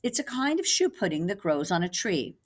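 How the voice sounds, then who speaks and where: A woman's voice: fairly low pitch, very animated, brisk. A woman voicing a pompous storybook wise man who explains things grandly in rhyme. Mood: knowing.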